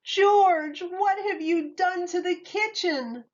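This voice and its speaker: A woman's voice: very high-pitched, slow, pitched above the performer's own voice. A woman voicing a little girl's mother hosting a party in a storybook, speaking in a firm, scolding tone, in a slightly muffled recording.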